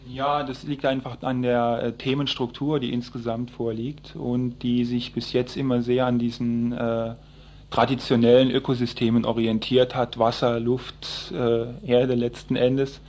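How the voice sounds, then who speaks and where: Halting man with an even, flat pitch. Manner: hesitant, halting, explanatory. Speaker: environmental group activist being interviewed. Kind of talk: spontaneous radio interview answers.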